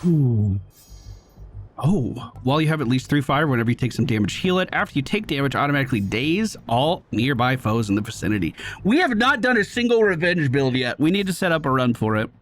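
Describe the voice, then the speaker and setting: A young man commentating his own video game run, excitable and quick to hype big moments. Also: mid-range voice, slight background noise. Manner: excitable, shouts and hypes when things go well. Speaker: gaming streamer. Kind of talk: let's play commentary. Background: game music.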